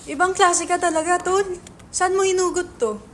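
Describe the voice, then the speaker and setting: A woman playing an excitable, theatrical young male writer who likes to tease, in a dramatic reading of a stage play. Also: very high voice, moderate pace, slight background noise. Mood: excited, teasing. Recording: background music under the voice.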